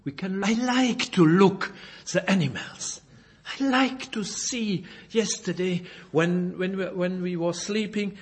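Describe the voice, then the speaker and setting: A man from Belgium, a native French speaker with a Belgian French accent, preaching and reading scripture in halting English, searching for words and correcting himself. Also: high-pitched, husky. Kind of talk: informal Bible sermon with scripture reading.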